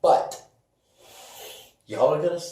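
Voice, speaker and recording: A man co-hosting a podcast in casual chat, building up his points slowly and emphatically. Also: mellow. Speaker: podcast co-host. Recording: echoey room with faint noise and clicks.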